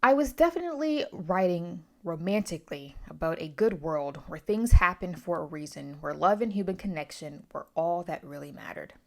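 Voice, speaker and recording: A woman's voice: fairly low pitch, vocal fry. A woman talking casually through a book review, opinionated and emphatic, often wandering into asides.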